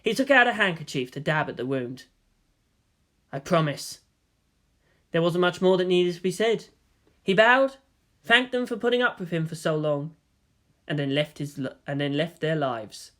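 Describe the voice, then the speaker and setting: A man's voice: on the high side, normal speed, sharp tone. A man reading fiction aloud as narrator, in a dramatic scripted style. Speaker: story narrator.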